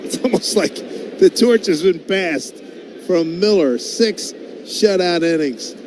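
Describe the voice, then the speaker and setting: A man with a fairly high voice calls a baseball game live for radio. He speaks in short, colloquial phrases as he follows each pitch. There is clear outdoor ballpark noise behind him. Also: slow, rough recording. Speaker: baseball play-by-play announcer. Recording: loud ballpark background noise. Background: outdoor stadium ambience.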